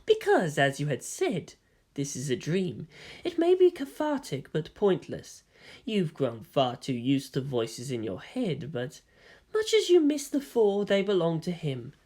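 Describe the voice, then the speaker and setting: A woman voicing a calm, cryptic young male hybrid who speaks in a soft, measured, quietly mocking way. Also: low voice, full character voice.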